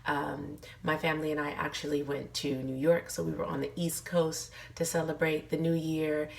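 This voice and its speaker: Hoarse, gravelly low-voiced woman vlogger. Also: little pitch movement, moderate pace, far from the mic. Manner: chatty, many fillers, earnest encouragement. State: hoarse, losing her voice. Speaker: lifestyle vlogger. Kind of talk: casual motivational talk to camera.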